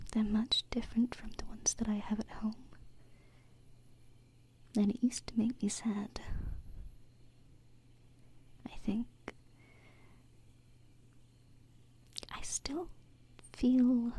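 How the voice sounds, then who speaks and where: A woman voicing a gentle, wistful alien princess in a breathy whispered ASMR roleplay, close to a binaural mic. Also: a little high, steady tone. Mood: tender, reassuring. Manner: slow, tender, reflective, with pauses. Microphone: close binaural microphone. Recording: close-up whisper with faint background noise.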